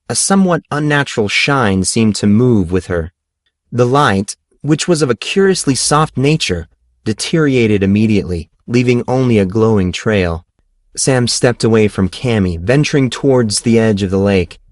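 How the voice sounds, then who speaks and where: A man's voice: lowish voice, no room sound, clean studio sound. A man reading a novel aloud as scripted audiobook narration. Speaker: audiobook reader.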